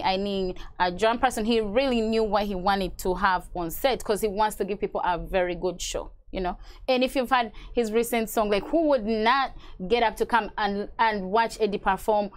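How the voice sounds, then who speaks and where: A woman from Uganda, guesting on a TV studio interview. She talks in a relaxed, chatty way and pads her answers with fillers, and her pitch sits in the middle of the usual female range. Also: normal speed. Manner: relaxed, chatty, laughs easily, many fillers. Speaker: radio host and event organizer.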